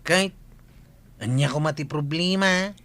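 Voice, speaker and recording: A man's voice: a little high, measured pace, fairly bright tone. A man playing a village character in a radio comedy drama. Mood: chatty.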